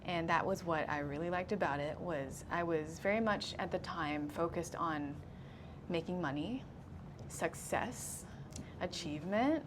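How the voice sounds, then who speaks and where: A woman's voice: fairly low pitch, noisy background. Earnest woman sharing her testimony. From grew up in Singapore. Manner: earnest, measured, laughs easily. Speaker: guest.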